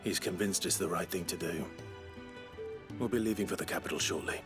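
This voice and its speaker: A man's voice: low voice, fairly quick, lightly acted. A man playing an earnest young fantasy hero in a video game, brooding, reserved and terse. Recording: loud music behind the voice. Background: loud game music.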